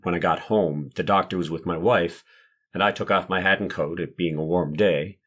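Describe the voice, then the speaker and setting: Man as police marshal on the stand, very low voice, measured, guarded, formal, courtroom transcript drama. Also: fairly bright tone. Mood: matter-of-fact. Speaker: actor playing a police witness.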